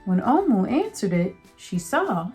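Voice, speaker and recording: A woman's voice: mid-range voice, very animated, unhurried, darkish tone, soft background music. A woman teacher giving a children's read-aloud lesson, speaking directly to young listeners in an encouraging way.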